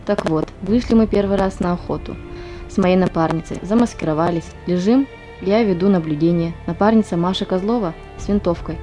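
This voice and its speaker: Woman acting a mellow-voiced female sniper. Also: lowish voice, a little fast, soft background music, natural voice. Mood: sober, recalling. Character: sober female war sniper recalling her first hunt. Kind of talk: amateur audio play monologue.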